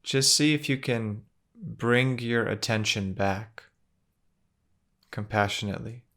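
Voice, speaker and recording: A man leading a guided meditation in a gentle, measured manner, with long pauses between phrases. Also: on the low side.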